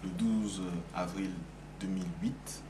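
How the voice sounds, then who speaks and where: A man's voice: low-pitched, steady tone, takes it slowly. A nineteen-year-old man giving a testimonial interview, hesitant with many fillers and restarts. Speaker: youth project participant. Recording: noisy background.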